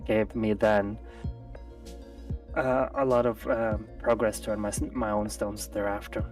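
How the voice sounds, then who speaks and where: A man's voice: fairly low pitch, level tone, measured pace, darkish tone. A man chatting as a guest on a live gaming stream, thoughtful and hesitant, with many restarts. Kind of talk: casual live-stream chat. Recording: distant mic with faint music.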